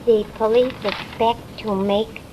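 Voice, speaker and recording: Lady acting innocent girly 50s radio wife, high voice, wide-eyed, slightly noisy old recording. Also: fairly even intonation, measured pace, lightly acted. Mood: excited, wondering. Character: naive, girlish, affectionate young wife. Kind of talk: 1950s radio drama acting.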